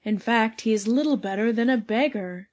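A woman's voice: on the high side, moderate pace, crowd noise, lightly acted. A woman doing a pompous, self-important mayor. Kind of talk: storybook reading.

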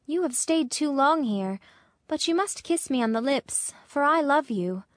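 A woman's voice: sits high, lively intonation, a little slow, dry recording, clean studio sound, pitched above the performer's own voice. A woman voicing a compassionate male statue prince who talks in an earnest, pleading way. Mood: earnest, gentle. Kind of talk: storybook reading.

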